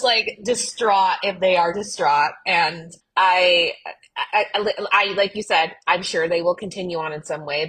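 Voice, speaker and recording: A woman's voice: on the low side, fairly bright tone, breathy edge. A woman co-hosting a podcast in a casual, earnest and emphatic way, sprinkling her talk with "like". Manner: earnest, emphatic, uses "like" often. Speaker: podcast co-host. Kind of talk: casual fan discussion.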